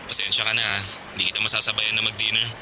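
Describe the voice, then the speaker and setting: Radio drama actor for Filipino fiancé: young man, fast, apologetic, traffic noise around him. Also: lowish voice, little pitch movement, lightly acted. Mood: apologetic, hurried. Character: busy, considerate, apologetic male fiancé. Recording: loud urban street noise behind.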